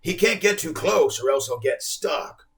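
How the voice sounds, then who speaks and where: Man playing a nervous young boy, stammering, gentle, kind, raised voice. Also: a little high, animated, a little slow, voice pushed up. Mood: timid.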